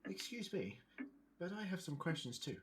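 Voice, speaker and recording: A man's voice: high-pitched, big pitch swings, moderate pace, background noise. A man playing a polite, wry, articulate time-travelling doctor in an audio drama. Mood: inquiring. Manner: articulate, courteous, precise wording. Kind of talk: fan audio drama acting.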